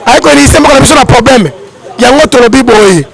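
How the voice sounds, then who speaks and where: A man's voice: very high voice, fast talker, vocal fry, crowd noise. A man from DR Congo with a Congolese accent giving emphatic political commentary, repeating his points for weight.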